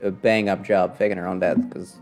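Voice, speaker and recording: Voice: low voice, level tone, brisk, darkish tone, faint music behind. A streamer giving casual, slangy commentary over a video game in a sarcastic, questioning manner. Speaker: live streamer.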